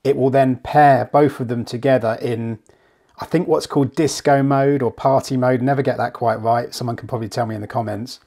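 A man with a croaky, flu-worn voice gives a chatty, steady step-by-step walkthrough as a hifi reviewer. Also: medium pitch, a little fast. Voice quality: gravelly. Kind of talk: screen walkthrough tutorial. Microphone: voice-over.

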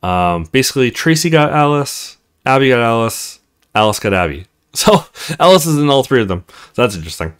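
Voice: medium pitch, big pitch swings, fairly quick, smooth. A let's play host talking casually while commenting on a game.

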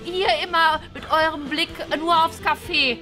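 A woman's voice: very high voice, fairly even intonation, measured pace, pushed and bright, soft background music, heavily acted. A young woman playing a meddling old grandmother in a family sketch, insistent and complaining. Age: young adult. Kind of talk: improvised family comedy sketch acting. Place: small indoor room.

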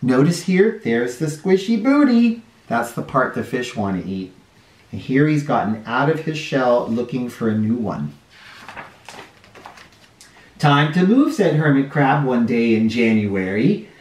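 A man in his forties reading a picture book aloud to children in an expressive, explanatory way. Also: medium pitch, unhurried. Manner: animated, explanatory, addressing young listeners. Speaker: children's storytime reader. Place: living room or playroom indoors. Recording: roomy home sound, distant mic.

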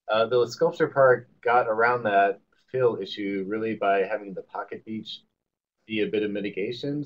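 A man answering a webinar question in a thoughtful way, with pauses and fillers. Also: on the low side. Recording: dull web call audio with faint noise.

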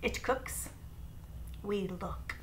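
A middle-aged woman reading a children's picture book aloud in short, deliberate phrases with pauses between them. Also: mid-range voice. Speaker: storybook reader. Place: small room at home beside an open window. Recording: noisy room, home webcam recording. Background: clear background noise, room ambience.

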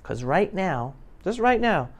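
A middle-aged man giving an impassioned, animated motivational talk to young people, with emphatic delivery. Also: sits high, takes it slowly. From New York City, United States. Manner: emphatic, animated, swinging between loud and hushed. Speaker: guest speaker addressing high school students. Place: classroom, indoors. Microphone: lapel mic.

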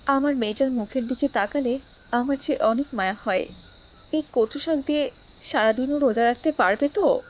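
A woman's voice: sits high, normal speed, dull audio, a little hiss or hum, close to an everyday voice. A woman voicing a doting, affectionate mother in a moral cartoon, coaxing and tender.

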